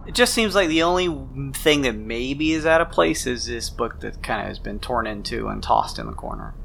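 A man's voice: mid-range voice, big pitch swings, normal speed, vocal fry, a bit bright, a little hiss or hum. A man narrating a tabletop role-playing game as game master, improvising scenes in the second person.

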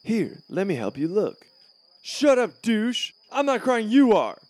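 A man's voice: sits high, sing-song, unhurried, darkish tone, no echo, heavily acted. A man playing a pampered, bratty male student in a comedy series, petulant and full of bluster. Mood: whiny, defensive.

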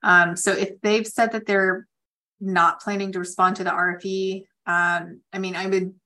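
A woman attorney hosting a conference call, explaining legal points in measured, pausing phrases. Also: medium pitch, flat delivery, bright, forceful tone.

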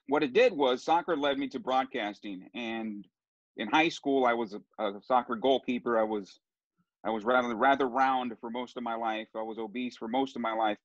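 A man's voice: mid-range voice, no room sound. Rambling middle-aged man, dry muffled sound. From Southern California. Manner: rambling, with many restarts and fillers. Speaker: sports broadcaster guest. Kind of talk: casual interview storytelling.

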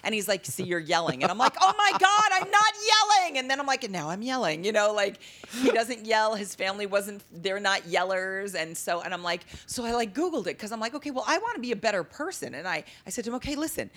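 A woman with a low, deep-timbred voice, telling stories in a casual interview and acting out the dialogue. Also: quick pace. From Las Vegas. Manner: animated, acts out quoted dialogue. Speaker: comedian guest.

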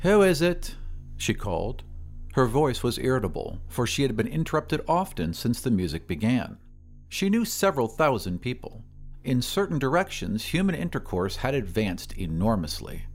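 A man hosting and narrating a story podcast, with deliberate, theatrical phrasing in scripted narration. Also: medium pitch, big pitch swings, faint music behind.

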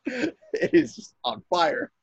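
A man's voice: very high voice, huge pitch swings, drawn-out words, gravelly, slightly rough, no echo, dull audio. A man in casual podcast chat, joking crudely, calling in from a camper trailer. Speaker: podcast guest.

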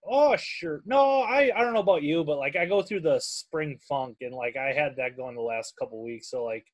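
A man's voice: on the high side, a little fast. A man with a Minnesotan accent hosting a casual chat, talking in a rambling, heavily profane way. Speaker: podcast host.

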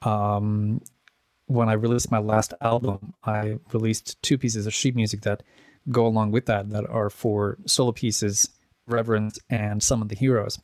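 A man's voice: fairly low pitch, flat delivery, a little slow, a little mellow, no room sound. Chatty man telling stories, dry sound. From Czech Republic. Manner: chatty, rambling with many restarts and fillers. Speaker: musician guest. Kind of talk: casual interview chat.

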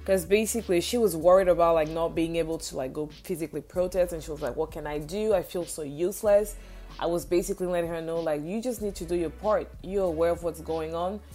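Mellow-voiced young woman rambling earnestly. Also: lowish voice, fast talker, soft background music. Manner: earnest, rambling, many "like" fillers. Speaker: podcast co-host. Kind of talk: casual chat.

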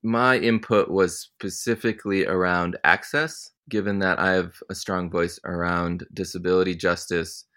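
Young male interview guest, very deep voice, easygoing and reflective delivery. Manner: relaxed, thoughtful, many ums. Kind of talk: casual interview answers.

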